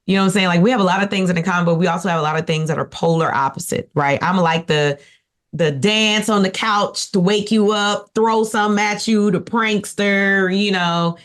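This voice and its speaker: Raspy-voiced woman, playful chat. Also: mid-range voice, brisk. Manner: animated, playful, teasing. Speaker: podcast guest, wife. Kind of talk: casual chat.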